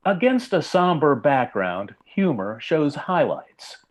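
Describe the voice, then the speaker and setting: A man narrating a story in old-time radio style, with formal, measured literary delivery. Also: medium pitch, rough recording.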